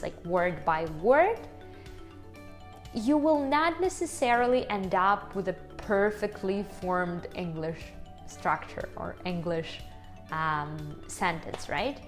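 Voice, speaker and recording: A woman with a strong Ukrainian accent, chatting casually to the camera in her home kitchen, upbeat and laughing often as she talks to her viewers. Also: medium pitch. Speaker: YouTuber.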